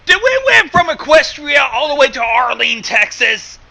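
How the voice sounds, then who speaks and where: A man reacting casually to a story, with a very bright, gravelly voice. The pitch jumps around between loud exasperation and mutters, over a slightly noisy call connection. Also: sing-song, normal speed. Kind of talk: casual reaction commentary with swearing.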